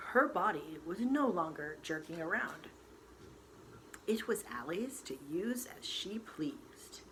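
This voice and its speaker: A woman storyteller reading a novel aloud in expressive, dramatic narration with deliberate emphasis. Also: lowish voice, low-quality recording. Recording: faint background noise.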